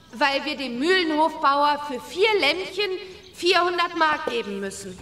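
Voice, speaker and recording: A woman's voice: very high voice, sharp tone, exaggerated voice. A woman playing a spirited, cheeky young witch girl in a children's audio drama, bold and eager.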